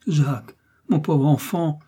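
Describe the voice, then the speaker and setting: A man's voice: mid-range voice, lively intonation, moderate pace, mellow, husky, pitched above the performer's own voice. A man voicing a frightened, pious peasant mother, trembling and imploring as in an audio storybook. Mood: desperate. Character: terrified pious peasant mother pleading for her child.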